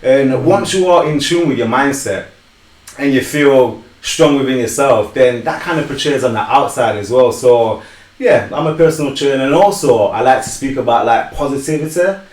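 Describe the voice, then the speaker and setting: A man's voice: medium pitch, normal speed, a little mellow. Guy in his 30s, upbeat and earnest, echoey room. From Sheffield, England. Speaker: personal trainer, panelist. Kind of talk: casual self-introduction.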